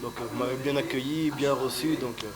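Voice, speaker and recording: A Congolese man answering interview questions in a polite, measured way with fillers, recorded in an outdoor restaurant. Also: mid-range voice, steady tone. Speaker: guest, association founder. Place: outdoor straw-hut restaurant.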